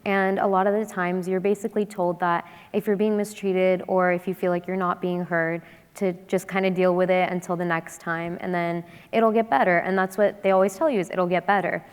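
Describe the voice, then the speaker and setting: A woman's voice: lowish voice, flat delivery, brisk, smooth. A woman on a panel speaking from her engineering work, firm and encouraging, in long flowing sentences. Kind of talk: panel discussion on stage. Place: large hall stage. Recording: long reverb from a large hall.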